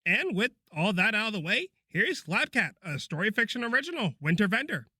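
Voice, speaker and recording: A man's voice: high-pitched, sing-song, brisk, bright, forceful tone, slightly rough, no echo, studio quality. A man introducing a show as its host in a scripted style.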